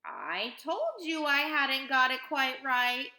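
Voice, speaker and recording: A woman's voice: on the high side, huge pitch swings, takes it slowly, sharp tone, voice pushed up, full character voice. A woman reading a children's story, voicing an eccentric male candy inventor with a rueful, sighing manner. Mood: rueful, resigned. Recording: roomy, echoing sound.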